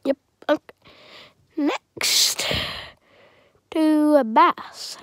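Slow-talking stuffy-voiced woman reviewer. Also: high-pitched, no room sound, clean studio sound. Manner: rambling, chatty, clears throat often. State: stuffy from a cold. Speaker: channel host reviewing a music game. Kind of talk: casual game review.

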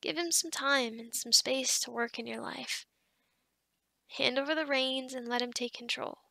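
Girl acting as nice encouraging lady boss, asmr roleplay, high voice w lots of vocal fry, super dry and close, kinda hesitant. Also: steady tone, sharp tone, dry recording, full character voice. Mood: reassuring, gentle. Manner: hesitant, conversational, with sighs and pauses. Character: kind, encouraging new female office boss, devout.

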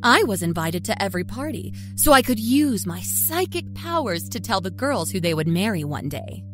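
Woman acting a lively, sly small-town confessor. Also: medium pitch, moderate pace, lightly acted. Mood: smug, chatty. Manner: folksy, chatty, smugly confiding. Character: sly, self-satisfied small-town Kentucky woman, born liar. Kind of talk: first-person dark storytelling. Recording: music under the narration.

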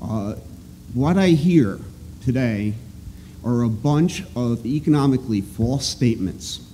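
A man giving halting, opinionated, emphatic testimony off the cuff at a podium microphone in an auditorium. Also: mid-range voice, animated, warm tone. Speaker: resident and economist giving public testimony. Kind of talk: halting off-the-cuff public speech. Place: large auditorium. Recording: clear background noise in auditorium.

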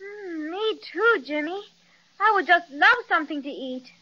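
A woman's voice: very high-pitched, animated, measured pace, pushed and bright, full character voice. A timid sweet little girl. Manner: timid, polite. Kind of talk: 1930s radio drama acting. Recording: muffled 1930s radio transcription.

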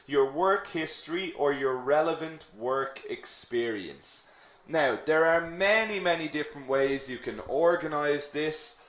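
A man's voice: a little high, echoey room. An Irish man teaching a lesson on CV writing, chatting with the class and checking in with frequent "okay?" questions. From Ireland. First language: English. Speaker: English teacher.

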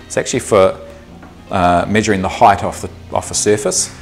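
A man in his thirties with a New Zealand accent, explaining a woodworking project step by step in a workshop, relaxed and thinking aloud with the odd um. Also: fairly low pitch, normal speed, creaky, faint music behind. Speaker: woodworking instructor. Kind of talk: workshop tutorial. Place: small indoor workshop.

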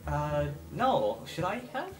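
A man's voice: fairly high pitch, big pitch swings, slow pace, pitched above the performer's own voice. A man playing a polite, harried student wizard in a tabletop game, repeating words in a fluster.

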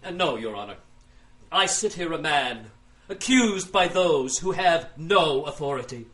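A man's voice: on the high side, expressive, measured pace, fairly bright tone. An actor playing a pompous, aggrieved industrialist who pleads his own case with theatrical, grandiloquent delivery in an audio drama. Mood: grandiose, pleading.

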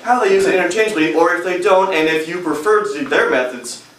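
A man's voice: high voice, fast, fairly bright tone. A young adult man giving a humorous prepared speech at a public speaking club, with a strong, emphatic delivery in a hotel meeting room. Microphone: far camera. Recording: echoey room from far off.

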